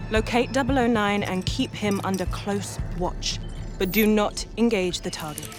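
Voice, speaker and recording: An actress playing an efficient spy mission briefer in a video game, formal, measured and precise. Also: female voice, mid-range voice, lightly acted. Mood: composed, serious. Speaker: briefing officer. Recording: music behind the voice.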